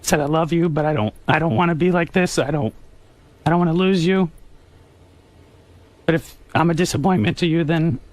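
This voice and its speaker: A young man with a somewhat high voice recounts something personal in a radio interview. His delivery is earnest and halting, like careful confession. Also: no room sound.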